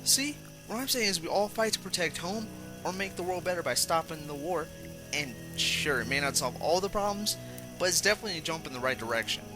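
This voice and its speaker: Man playing an earnest, higher-voiced young soldier. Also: expressive, fairly quick, over music, lightly acted. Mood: eager. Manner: sincere, eager. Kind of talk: fan audio drama acting.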